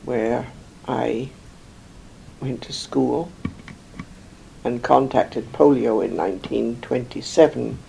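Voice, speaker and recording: An older woman from England, slowly recalling her life in an interview, rambling with many pauses and fillers. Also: rumbling low, gravelly, mellow, slight background noise, poor audio. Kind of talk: oral history recollection.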